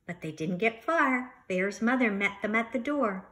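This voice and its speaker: A woman reading a children's story aloud like a teacher, expressively, pausing to comment and instruct. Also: a little high, animated, fairly bright tone.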